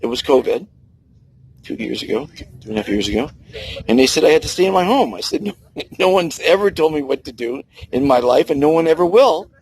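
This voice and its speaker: A man's voice: a little high, lively intonation, fairly quick, darkish tone, breathy edge, rough recording. A man in his sixties talking earnestly to camera outdoors by the sea, preaching kindness with emphatic swings in his voice. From United States. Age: 60s. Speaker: kindness vlogger. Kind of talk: outdoor personal monologue.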